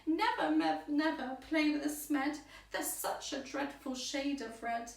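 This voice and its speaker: A woman's voice: sits high, a little slow, gravelly, voice pushed up. A woman voicing a bossy, indignant alien grandmother from a children's storybook, chanting emphatic, forbidding warnings in rhyme. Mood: stern warning. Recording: roomy echo, distant microphone.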